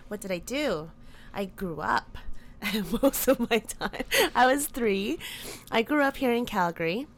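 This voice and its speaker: A woman's voice: on the high side, expressive, a little slow. A woman in her thirties, born in the Philippines, answering interview questions in a chatty way that often breaks into laughter. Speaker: podcast guest.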